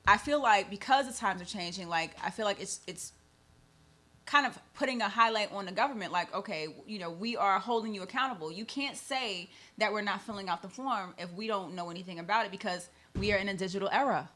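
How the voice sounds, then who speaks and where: A woman's voice: medium pitch, a little fast, sharp tone. A woman hosting a conversational radio show, often peppering her points with "like" and "you know". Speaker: host.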